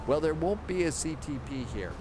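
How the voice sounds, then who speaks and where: A man's voice: a little high, expressive, fairly quick, noisy background. A man hosting a live flight-sim stream, reading viewer comments and rambling in a casual, thinking-aloud way. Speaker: livestream host. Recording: clear background music.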